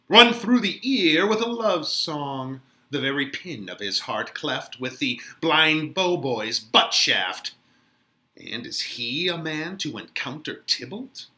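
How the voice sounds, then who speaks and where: A man's voice: mid-range voice, sharp tone, exaggerated voice. A man playing a mocking, theatrical young Elizabethan wit in an exaggerated dramatic Shakespeare reading. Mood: mock pity. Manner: theatrical, exaggerated, swooping delivery.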